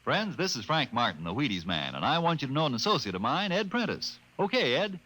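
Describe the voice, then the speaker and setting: A man's voice: medium pitch, sing-song, fairly quick, pushed and bright, no echo, poor audio. A man announcing a sponsor's message in 1950s radio advertising style.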